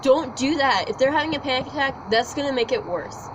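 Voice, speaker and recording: Earnest high-voiced teen girl outdoors. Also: a little fast, noisy background. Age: teens. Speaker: student co-host. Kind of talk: casual chat.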